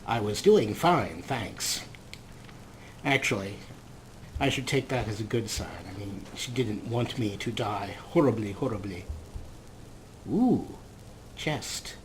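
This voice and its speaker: A man's voice: on the low side, expressive, slow pace, pauses often, rough recording. A man hosting a let's play with casual, playful game commentary, wordy and wry, with a theatrical streak. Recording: clear background noise, game ambience.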